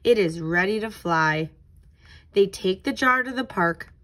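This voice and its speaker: Slow-paced woman reading to children. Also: medium pitch, expressive, fairly bright tone. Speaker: tutor reading a picture book and leading a craft. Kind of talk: children's read-aloud and craft instruction.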